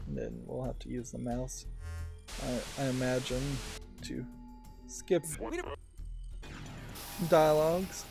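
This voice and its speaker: A man hosting a let's play, giving unscripted gameplay commentary in a halting, thinking-aloud manner. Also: mid-range voice, gravelly, darkish tone. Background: faint game music.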